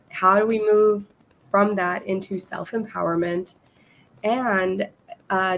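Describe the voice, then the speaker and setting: A woman hosting a podcast interview, a little hoarse and losing her voice, chatting eagerly with her guest. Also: mid-range voice, slight background noise, low-quality recording. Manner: enthusiastic, reads guest bio aloud. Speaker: podcast host. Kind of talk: casual interview.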